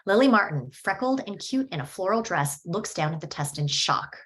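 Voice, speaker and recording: A woman reading screenplay stage directions at a table read in a steady, descriptive way. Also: mid-range voice, normal speed.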